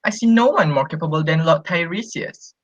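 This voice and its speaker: A man's voice: a little high, a little fast, fairly bright tone, dry recording. A young man playing a deferential royal counsellor of ancient Greece in an amateur radio drama. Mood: earnest, advising. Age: young adult. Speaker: student actor. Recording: dry, dull lo-fi recording.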